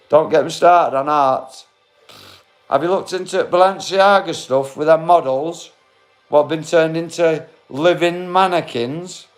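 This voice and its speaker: A blunt, matey man in his forties chats to his viewers on a phone livestream, calling them mate and bro and swearing freely. His voice sits a little higher than most men's, and faint music plays behind him. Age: 40s. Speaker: livestream host. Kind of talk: casual livestream chat to viewers.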